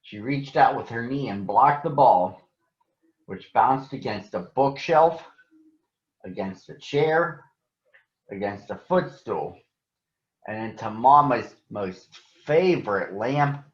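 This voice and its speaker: An American man addressing children and reading a picture book aloud in a deliberate, announcer-like way. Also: mid-range voice, animated. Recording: echoey room, slightly dull sound.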